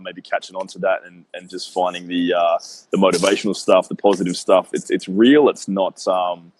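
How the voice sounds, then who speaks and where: A man's voice: sits low, level tone, normal speed, darkish tone, slight background noise, poor audio. A man in his mid-thirties, a guest talking earnestly about his work in a casual interview, with a rambling style full of "um" and "you know". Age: 30s. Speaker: guest, business owner.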